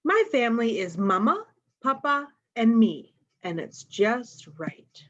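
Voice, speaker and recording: A woman's voice: a little high, very animated, slow pace, dull audio. A woman reading picture books aloud to young children, talking to them directly and asking simple questions. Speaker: storytime reader.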